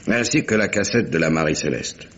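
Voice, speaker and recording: An actor playing a gruff, money-minded merchant sea captain in a 1950s-style French radio drama, plain-spoken and insistent. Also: male voice, low voice, lively intonation, fairly quick, a bit bright. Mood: explanatory. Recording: dull, hissy old radio recording.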